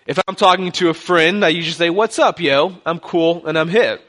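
A man's voice: fairly high pitch, a little fast, a bit bright. A young man from Texas with a light Texan accent, preaching a sermon as a guest pastor in a joking, conversational way. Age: young adult.

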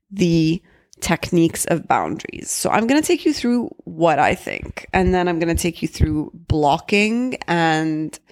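A young woman talks through her solo podcast in a low voice. Her tone is chatty and candid, and she slips Arabic phrases into her English. Her voice carries a slight early-morning roughness. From Egypt. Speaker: podcast host.

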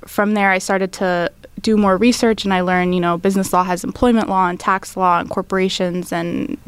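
A woman's voice: mid-range voice, level tone, normal speed, clear, resonant tone, no echo. A woman in her twenties, a law student answering interview questions thoughtfully, with frequent ums.

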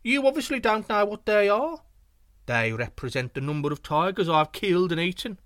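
A man's voice: very animated, normal speed, a bit bright, no echo, studio quality. A man voicing a small, boastful male spotted deer who brags scornfully in a storybook tale. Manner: scornful, shrill bragging.

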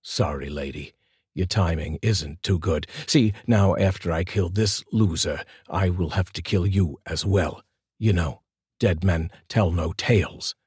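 A man playing a menacing, greedy thug who sneers and insults, with slow threats. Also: low-pitched, a little mellow, slightly rough, no room sound, studio quality, full character voice. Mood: contemptuous.

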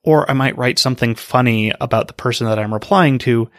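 A man hosting a podcast, giving calm, explanatory answers from a script. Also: mid-range voice, brisk, no echo, clean studio sound. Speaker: podcast host.

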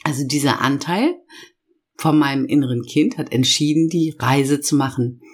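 A middle-aged woman hosts her own self-help podcast, and a cold has left her voice hoarse. She talks straight to the listener, slowing down to lean on each feeling she names. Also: very deep voice. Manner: slow, emphatic listing of feelings. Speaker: podcast host and inner-child coach. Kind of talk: warm guided podcast talk.

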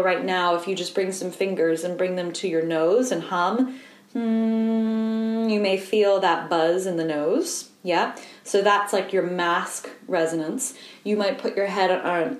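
A woman voice coach giving a calm, explanatory lesson and guiding the listener through simple humming exercises. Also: medium pitch, unhurried, echoey room. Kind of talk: instructional voice lesson.

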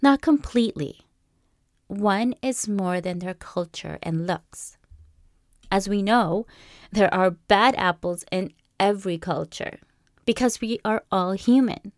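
Young woman, Peruvian-born, measured reflective solo host, mid pitch, close dry mic. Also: dry recording. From Peru. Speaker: podcast host. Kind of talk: scripted solo monologue.

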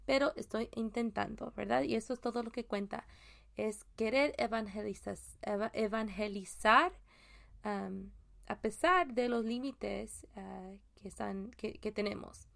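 A woman from Mexico hosting a casual solo faith podcast, talking in a halting way with many fillers and restarts. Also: medium pitch, creaky, a bit bright. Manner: chatty, laughs at own jokes. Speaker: podcast host.

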